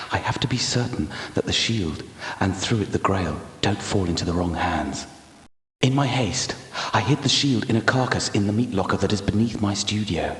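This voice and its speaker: An actor playing a grave, courteous guardian knight and temple architect in an adventure game, speaking in a formal, archaic, measured way. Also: male voice, low voice, a bit bright, slight background noise. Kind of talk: scripted adventure game character dialogue. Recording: echoey hall with long reverb.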